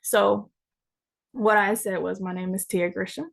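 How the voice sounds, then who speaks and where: A young woman who grew up in Mississippi, a graduate student opening a conference presentation in a measured way with small hesitations. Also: medium pitch, steady tone, vocal fry. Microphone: video call.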